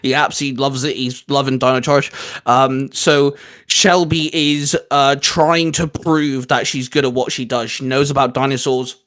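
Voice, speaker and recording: A man's voice: mid-range voice, level tone, normal speed, fairly bright tone, clean tone. A man chatting casually as co-host of a fan countdown, enthusiastic and opinionated, often tripping over his words. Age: adult, father of a young son. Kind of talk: casual geeky chat.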